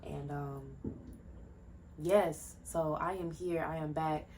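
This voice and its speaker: A woman's voice: low voice, fairly even intonation, takes it slowly, a little mellow, a little hiss or hum. A woman in her early twenties hosting a casual solo podcast from home. She talks loosely and wanders between tangents, with lots of fillers and restarts. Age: 20s. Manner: rambling, jumps between tangents. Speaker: podcast host. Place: at home, indoors. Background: faint fireworks popping.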